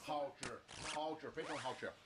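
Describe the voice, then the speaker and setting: A man's voice: fairly high pitch, normal speed. A man talking casually and joking as he vlogs his travels through streets and a small restaurant. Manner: chatty, joking, tries out broken Mandarin. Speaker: travel vlogger. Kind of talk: casual travel vlog chat. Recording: loud street and restaurant noise. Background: loud background noise.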